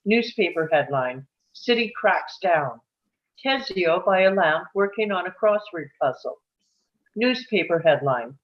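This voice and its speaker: A woman's voice: medium pitch, highs cut off. A woman reading screenplay stage directions aloud as scripted narration at a table read.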